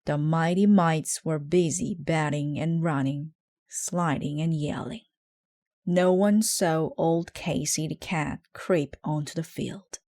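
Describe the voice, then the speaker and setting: A woman's voice: sits low, slow, mellow, dry recording, clean studio sound. A woman reading a children's story aloud as narrator. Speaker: storybook reader.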